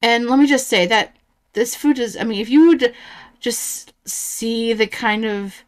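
A woman's voice: on the high side, unhurried. Blunt, sarcastic woman in her thirties. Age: 30s. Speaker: reaction commentator. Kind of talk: casual reaction commentary.

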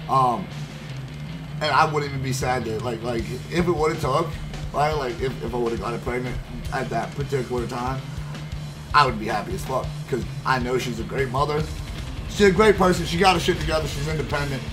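A young man with a slightly high voice rambles through a story, swearing freely and piling up fillers as he goes. He speaks into a headset at his desk. Guitar music plays clearly under his voice. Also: low-quality recording. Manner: profane, rambling, animated storyteller with many fillers. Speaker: streamer. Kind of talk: casual storytelling while gaming. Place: indoor room at gaming desk. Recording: background guitar music playing.

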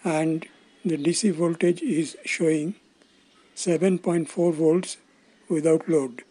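Older man with a mellow, higher-pitched voice. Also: fairly even intonation, slow pace, husky. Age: 60s. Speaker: electronics instructor. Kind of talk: scripted instructional narration.

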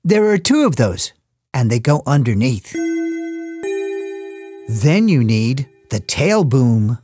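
A woman narrating a children's cartoon in a playful, animated way, with chatty asides to her young listeners. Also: rumbling low, slow pace. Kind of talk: playful scripted narration for toddlers. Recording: music behind the voice.

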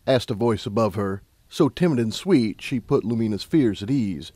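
A man narrating a scripted story as an audiobook narrator, in a measured, dramatic storytelling manner. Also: lowish voice, animated, warm tone, no room sound, clean studio sound.

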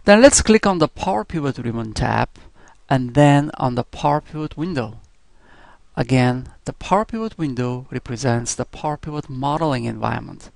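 A man giving step-by-step software instruction in a scripted screencast narration style, as a teacher would. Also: mid-range voice, animated, moderate pace, creaky, no room sound.

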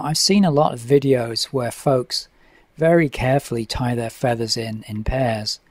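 Calm man explaining fly tying. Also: medium pitch, no room sound. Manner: relaxed, methodical, with frequent uh fillers. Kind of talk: instructional voice-over. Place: home tying desk.

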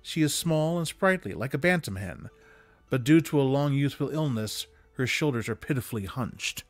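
A man's voice: medium pitch, expressive, normal speed, no echo. Man reading a classic story aloud, dry close sound. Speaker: reader of holiday stories aloud. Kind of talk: expressive read-aloud of classic prose. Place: small indoor room with bookshelves. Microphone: desk condenser microphone, close. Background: music.

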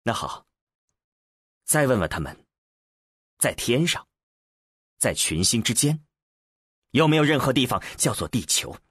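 Audiobook role: commanding, skeptical space explorer acted by a man, rough-edged, sardonic, swinging widely in pitch. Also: big pitch swings, a little slow, pauses often, fairly bright tone, dry recording, studio quality, heavily acted. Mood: pressing, commanding. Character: brusque, impatient, sardonic male space explorer.

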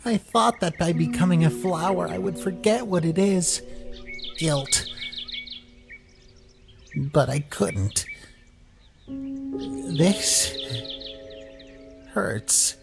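An actor voicing a small, brooding talking flower in a comic dub, weary, somber and halting. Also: mid-range voice, big pitch swings, vocal fry, music in the background, exaggerated voice. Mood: haunted. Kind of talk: scripted comic dub acting.

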